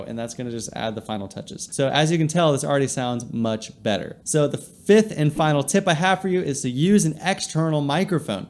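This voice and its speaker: A man's voice: fairly high pitch, a little mellow. Upbeat young man explaining to camera. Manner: upbeat, explanatory, gestures a lot while talking. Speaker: video creator teaching audio tips. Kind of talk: casual tutorial to camera. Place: small indoor room with shelves. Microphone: close shotgun mic on a stand in front.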